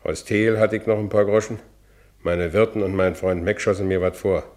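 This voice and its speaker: An actor playing a rough, brawny, volatile ex-convict labourer in a radio play, speaking coarse Berlin vernacular. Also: male voice, low voice, fairly even intonation, normal speed, a little mellow, lightly acted. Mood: wistful narrating. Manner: coarse Berlin vernacular, swinging from brooding to exultant. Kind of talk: German radio play acting, monologue and dialogue.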